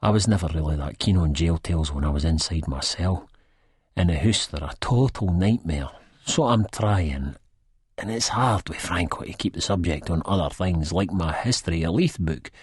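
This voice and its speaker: Scottish down-and-out, husky, lowish pitch, rambling, self-deprecating, colloquial audiobook storytelling. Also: male voice, moderate pace, darkish tone, dry recording, clean studio sound. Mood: downcast, rambling. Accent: Scottish, Edinburgh. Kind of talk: first-person audiobook narration in dialect.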